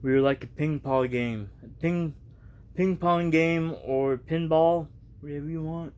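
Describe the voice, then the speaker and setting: Slow-talking man reading casually, higher-pitched voice. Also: steady tone, a little mellow, clear, resonant tone. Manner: informal, halting, rambling. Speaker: vlogger reading a storybook aloud. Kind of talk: casual reading aloud and reaction.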